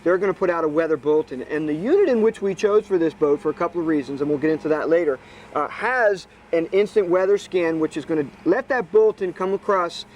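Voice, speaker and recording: High-voiced man explaining technical installation. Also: quick pace, a little mellow, slight background noise. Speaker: boat rigger, expert guest. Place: outdoors on a boat.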